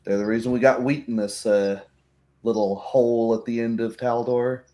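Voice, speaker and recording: A man's voice: mid-range voice, little pitch movement, normal speed, mellow. A man narrating a tabletop roleplay as game master in a casual, conversational way.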